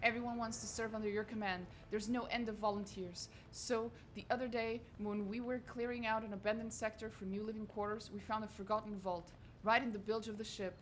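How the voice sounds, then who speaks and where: A woman's voice: mid-range voice, fairly even intonation, a little fast, few pauses, slight background noise, lightly acted. A woman reading aloud the lines of a fawning young male crew spokesman, in flowery, formal praise. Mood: effusive. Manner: reads game dialogue aloud, flowery and formal.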